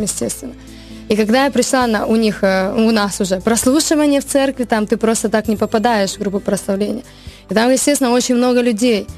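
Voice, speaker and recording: A woman from Ukraine, a worship singer, telling her story in a casual interview, earnest and animated with many restarts. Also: fairly high pitch.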